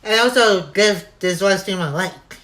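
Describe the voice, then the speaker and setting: Slow-talking young man hosting a stream. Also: high voice, pushed and bright. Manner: short replies, unhurried. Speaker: live stream host. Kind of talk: casual chat on a live stream. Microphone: voice call.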